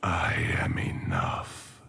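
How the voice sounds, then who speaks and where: An actor voicing a grim, weary, ancient necromancer gravedigger in a video game, raspy, slow and solemn. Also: male voice, sharp tone, long reverb tail, soft background music. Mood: brooding, defiant. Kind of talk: video game character voice lines.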